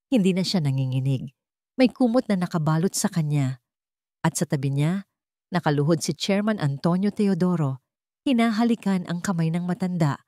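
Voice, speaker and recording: Woman reading a dramatic story, lowish voice, dry close sound. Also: mellow, dry recording. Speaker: story narrator. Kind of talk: scripted dramatic story narration.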